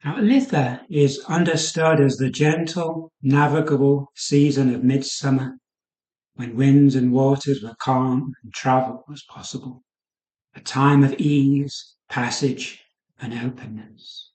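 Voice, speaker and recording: A man guiding a yoga nidra meditation in a soothing, unhurried manner, leaving pauses between short phrases. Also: mid-range voice, fairly even intonation, darkish tone, echoey room, rough recording. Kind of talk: guided meditation.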